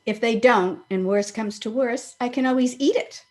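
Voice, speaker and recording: A woman's voice: a little high, normal speed, darkish tone, close to an everyday voice. A woman playing a wry, proud sweetheart in an old-time radio comedy, with a dry, pointed delivery. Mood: wry, hurt. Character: wry, proud woman being jilted, quietly cutting.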